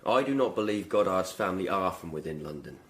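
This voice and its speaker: An actor playing a dutiful Tudor coroner in a period radio drama, formal and matter-of-fact. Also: male voice, deep voice, animated, fast talker. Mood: businesslike. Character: brisk, dutiful Tudor coroner reporting to superiors.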